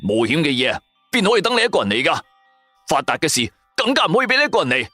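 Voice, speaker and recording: A man's voice: fairly high pitch, huge pitch swings, very fast, sharp tone, no room sound, faint music behind, pitched above the performer's own voice, heavily acted. A man voicing a brash, loyal male buddy hungry for riches, exclamatory and slangy, in a radio drama. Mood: earnest loyal. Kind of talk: Cantonese radio drama acting.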